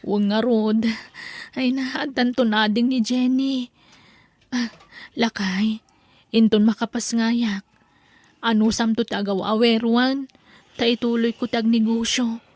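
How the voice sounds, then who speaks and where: An actress playing a plain-spoken wife and mother in an Ilocano comedy radio drama, expressive and conversational. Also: a little high, slow pace, long pauses, no room sound. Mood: matter-of-fact.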